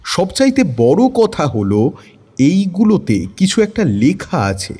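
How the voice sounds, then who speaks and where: Dark, husky male storyteller. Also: sits high, very animated, takes it slowly. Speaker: story narrator. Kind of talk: scripted first-person detective story narration.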